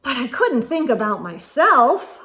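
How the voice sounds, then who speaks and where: A woman reading a picture book aloud to children, expressively, with gasps and hushed asides. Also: high voice, big pitch swings, unhurried. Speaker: children's read-aloud storyteller.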